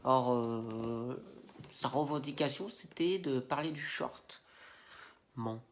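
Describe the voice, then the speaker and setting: A man's voice: medium pitch, slow pace, slight background noise. A man with a head cold and a stuffy nose, ranting casually to camera with slangy, rambling indignation and plenty of fillers. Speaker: Instagram video creator. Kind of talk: casual opinion rant to camera.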